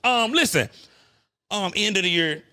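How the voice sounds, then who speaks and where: A man's voice: sits high, animated, unhurried, sharp tone, no room sound, studio quality. A man hosting a podcast in a cigar lounge, chatting casually in slang and hyping up his guest. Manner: laid-back, slangy, hypes up his guest. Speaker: podcast host. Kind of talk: casual chat.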